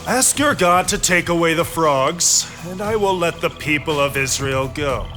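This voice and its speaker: An actor playing an arrogant, mocking, stubborn Egyptian Pharaoh in a dramatized children's Bible story. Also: male voice, a little high, lively intonation, a little slow. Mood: irritated, haughty. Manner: haughty, scoffing. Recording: loud music behind the voice. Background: music.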